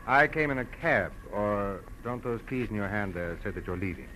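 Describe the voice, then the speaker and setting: A man's voice: on the low side, normal speed, pushed and bright, slight background noise, poor audio. An actor playing a dapper, mock-polite male casino crook in a 1940s radio crime drama, on an old recording. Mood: smug, polite. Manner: mock-polite, slick, guarded. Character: smooth, dapper small-time male casino racketeer.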